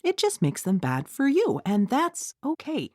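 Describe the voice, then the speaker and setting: A middle-aged woman reading her own audiobook in a conversational, snarky way, with playful deadpan asides. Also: a little high, measured pace, no room sound, clean studio sound. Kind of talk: scripted audiobook narration, conversational.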